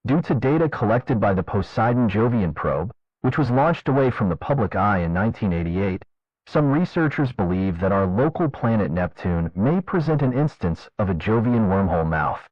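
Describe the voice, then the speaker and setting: A man narrating a fictional scientific government report in a formal, measured, clinical manner. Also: on the low side, big pitch swings, darkish tone, no room sound. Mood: formal, detached. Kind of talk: scripted documentary narration, analog horror. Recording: dull lo-fi narration track.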